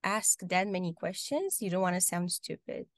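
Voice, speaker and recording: A young woman from Romania with a Romanian accent, answering interview questions casually on a video call, often pausing with ums and restarting sentences. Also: on the low side, animated, dry recording, clean studio sound. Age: young adult. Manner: hesitant, many ums and restarts. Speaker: guest. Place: home room, video call.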